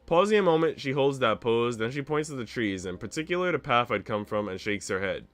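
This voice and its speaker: A man's voice: mid-range voice, lively intonation, a little fast, a bit bright, soft background music. A man streaming a visual novel, reading its narration aloud expressively with casual commentary.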